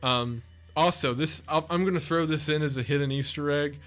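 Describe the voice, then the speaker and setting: A man's voice: on the high side, fairly quick, fairly bright tone. A man giving casual off-the-cuff video commentary, chatty, effusive and enthusiastic as a video creator. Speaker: video essay creator and narrator.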